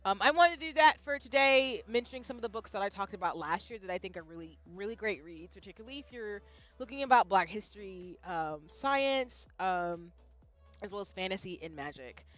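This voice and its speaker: A young woman streamer talking casually and animatedly to her chat, jumping between loud bursts and soft asides, often poking fun at herself. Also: mid-range voice, animated, fairly quick. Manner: self-deprecating, reads out chat. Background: faint background music.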